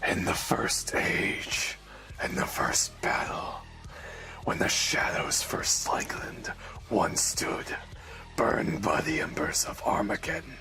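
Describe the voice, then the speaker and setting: A man acts out an ominous teller of dark fantasy legend in a rough, breathy whisper. The reading is very slow, grave and dramatic, with music behind it. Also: fairly low pitch.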